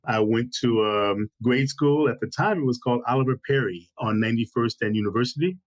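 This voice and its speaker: A man, an anti-racism trainer speaking as a guest from home, measured and articulate. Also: mid-range voice. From Chicago. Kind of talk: interview. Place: home, during lockdown.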